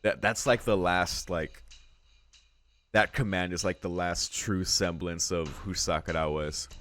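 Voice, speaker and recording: A man's voice: low voice, fairly even intonation, a little slow, a bit bright, slight background noise. A man hosting a let's read, narrating visual novel text aloud and adding casual reactions of his own. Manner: casual, swearing asides between read lines. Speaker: let's play host, reader. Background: game music.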